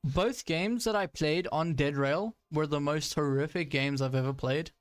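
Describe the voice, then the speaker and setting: A man's voice: fairly high pitch, moderate pace, clean tone, no room sound, studio quality. A young man giving casual live commentary while playing a tank game, chatty with frequent fillers and frustrated asides. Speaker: gaming streamer.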